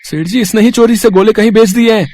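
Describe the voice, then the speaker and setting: A man acts out a scheming shop worker in a storybook tale. The voice is pushed well up in pitch, with an insinuating, accusing manner. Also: fast, a little mellow, lightly acted.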